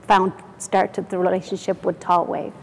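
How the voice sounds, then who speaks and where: A middle-aged woman with an Irish accent, a company founder telling her story in a relaxed interview with plenty of restarts. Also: lowish voice, moderate pace, creaky, breathy edge. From Ireland or UK. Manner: chatty, self-deprecating, many restarts. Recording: reverberant room.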